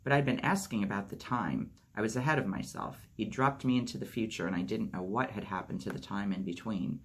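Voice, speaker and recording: A man reading a memoir aloud as a storytime host, steady narration with lightly acted dialogue. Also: lowish voice, a little fast, slightly rough. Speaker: audiobook reader.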